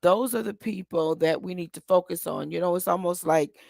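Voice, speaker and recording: Hoarse woman host with a gravelly, dry sound; rambling and encouraging, many ums. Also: fairly low pitch, a little fast, darkish tone, no room sound. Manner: encouraging, asks listeners to agree. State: hoarse today. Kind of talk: casual motivational talk.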